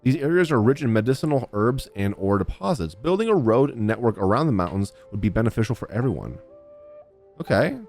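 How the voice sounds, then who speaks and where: A man's voice: mid-range voice, a little fast. A young man streaming a video game, reacting casually and reading aloud in an informal way. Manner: informal, animated. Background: game music.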